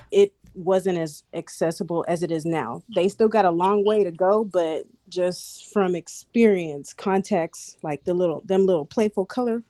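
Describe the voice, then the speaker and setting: A woman from Houston with a soft voice, talking casually in a group voice chat. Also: medium pitch, no echo. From Houston, Texas.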